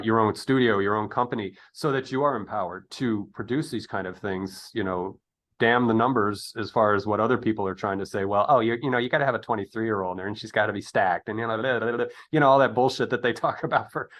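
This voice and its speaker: A man's voice: on the low side, a bit bright. Rambling middle-aged man, casual interviewer. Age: 50s. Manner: rambling, digressive, thinking aloud. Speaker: podcast interviewer.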